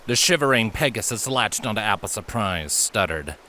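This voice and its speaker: A man reading a story as an audiobook narrator, with dramatic, suspenseful and deliberate phrasing. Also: fairly low pitch, lively intonation, pushed and bright, a little hiss or hum.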